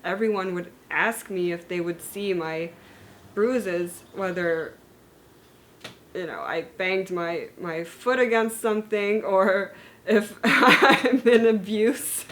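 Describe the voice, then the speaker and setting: A woman's voice: mid-range voice, slow pace, fairly bright tone. A woman in her thirties, born in Germany and raised in the United States and Hungary, talking candidly to camera about her own story, with fillers and self-corrections. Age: 30s. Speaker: vlogger sharing her illness story. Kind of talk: personal talk to camera.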